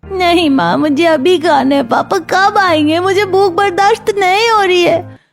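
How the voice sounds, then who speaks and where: A woman's voice: very high-pitched, measured pace. A woman voicing a hungry little seven-year-old boy who whines and pleads in a cartoon story. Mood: pleading, tearful.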